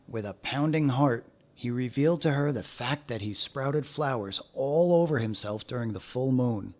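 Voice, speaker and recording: A man narrating a children's story aloud in an expressive, deliberate way with dramatic phrasing. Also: medium pitch.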